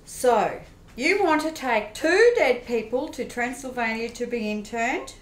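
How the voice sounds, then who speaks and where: An actress playing an officious, sceptical government clerk in a radio play, recorded in a roomy space. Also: female voice, fairly high pitch, lively intonation, measured pace. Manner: formal, officious. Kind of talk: old-time radio play acting. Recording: roomy echo.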